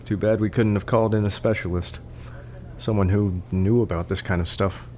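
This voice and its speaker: A man's voice: on the low side, steady tone, moderate pace, a little mellow, slight background noise, lightly acted. A man playing an earnest, slightly cowardly young mycologist in an audio drama, hesitant in manner. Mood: hopeful, awkward.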